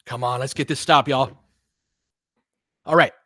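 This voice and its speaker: A man with a mid-pitched voice calls a basketball game live. His delivery is informal and partisan, built from short clipped phrases, and excitable when the play heats up. The sound is dry, with no room around it. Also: a little slow, no echo. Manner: partisan, excitable on big plays. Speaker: fan play-by-play commentator.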